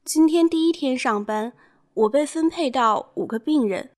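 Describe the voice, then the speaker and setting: A woman's voice: high voice, expressive, normal speed, very noisy. A woman playing an earnest, troubled young woman doctor in an amateur radio drama. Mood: downcast.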